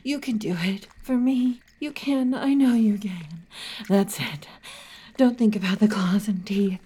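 A woman's voice: medium pitch, a little slow, warm tone, husky. A woman voicing a possessive, needy female werewolf lover in a whispered, intimate audio roleplay, murmuring and often whispering.

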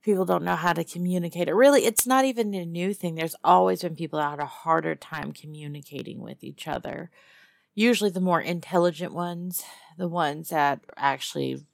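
A woman performs a female lizard-person hunter from Texas in an intimate audio roleplay. Her Southern drawl is low and heavily fried with creak, recorded close and dry. She teases and needles in a sardonic, guarded way, pausing to hum and muse. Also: no echo. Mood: musing, sympathetic. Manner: drawling, sardonic, teasing, with musing pauses and hums. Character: tough, teasing female lizard-person huntress, guarded but lonely. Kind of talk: one-sided immersive audio roleplay to a captive listener.

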